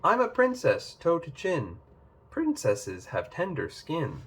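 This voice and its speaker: A man's voice: fairly high pitch, huge pitch swings, slow pace, fairly bright tone, husky, pitched above the performer's own voice, exaggerated voice. A man voicing a dainty, fussy, vain young princess in a storybook read-aloud. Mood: haughty.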